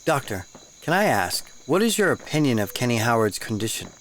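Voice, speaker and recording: A man's voice: medium pitch, big pitch swings, brisk, fairly bright tone, slight background noise, poor audio. A man playing a polite, curious geologist. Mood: earnest. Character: earnest geologist, polite and inquisitive.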